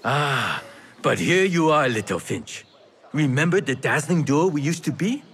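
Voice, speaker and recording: An actor playing a wily, affectionate old tea merchant in a video game, with a theatrical, teasing, exclamatory manner. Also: male voice, a little high, animated, unhurried, fairly bright tone. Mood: wry, grumbling. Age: older. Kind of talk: video game character acting.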